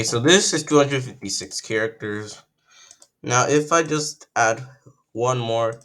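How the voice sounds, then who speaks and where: A young man, croaky from just waking up, talks through a casual coding tutorial at his computer, thinking aloud and correcting himself as he goes. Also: on the low side, fairly bright tone.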